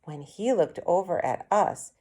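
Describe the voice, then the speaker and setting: A woman with a slightly low voice reads a children's novel aloud. She narrates in the first person as a storyteller. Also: expressive, unhurried, a little mellow. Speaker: read-aloud reader.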